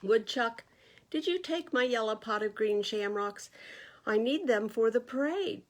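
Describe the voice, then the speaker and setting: A woman voicing an earnest, anxious rabbit from a children's picture book, expressive and exclaiming. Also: a little high, a little slow. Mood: worried.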